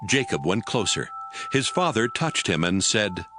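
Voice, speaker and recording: A man with a low voice narrates a dramatized scripture reading in an even, measured way. Music plays clearly under his reading. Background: background music.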